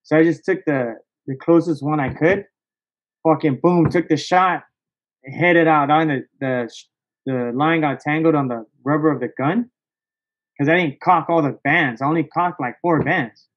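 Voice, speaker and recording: A man telling a story casually as a podcast guest, in a slangy, excitable way with plenty of swearing. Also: on the high side, moderate pace, highs cut off. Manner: slangy, excitable, profanity-laced, peppered with "bro" and "dog".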